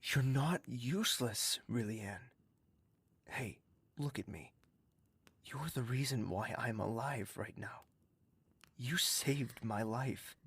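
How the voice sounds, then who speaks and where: An actor playing a gentle, protective, courtly confidant, speaking softly and earnestly with formal manners in an audio drama. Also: male voice, mid-range voice, takes it slowly, long pauses, husky, exaggerated voice. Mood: gentle concern. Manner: soft, earnest, reassuring, formal.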